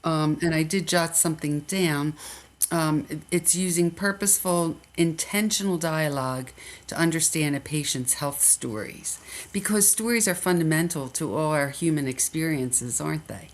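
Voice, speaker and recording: Low-voiced older woman, Philly accent. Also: moderate pace. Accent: Philadelphia. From Philadelphia area, USA. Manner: reflective, many restarts and fillers. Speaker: guest, nurse educator. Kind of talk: interview conversation.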